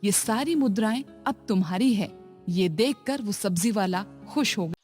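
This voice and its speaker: A woman narrates animated moral stories for children in a calm, even voice. Her pitch is middle-range, and gentle music plays underneath. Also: moderate pace. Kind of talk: scripted children's story narration.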